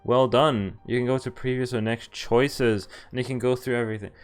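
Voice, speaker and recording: Excitable man reading a visual novel aloud. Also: mid-range voice, brisk, clear, resonant tone, soft background music. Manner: excitable, thinks aloud, reads story lines in first person. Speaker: streamer playing a visual novel. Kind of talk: live game commentary and reading text aloud.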